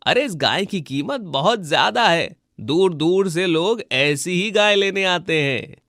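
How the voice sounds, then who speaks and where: A man's voice: high voice, expressive, unhurried, a bit bright, dry recording, studio quality, heavily acted. A man voicing a proud, irritable village cattle trader in a cartoon, boastful and brusque. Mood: irritated, scornful. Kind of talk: Hindi cartoon moral-story dubbing.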